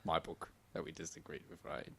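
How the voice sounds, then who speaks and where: A man in his thirties co-hosting a podcast in casual chat. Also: fairly quick. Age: 30s. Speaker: podcast co-host.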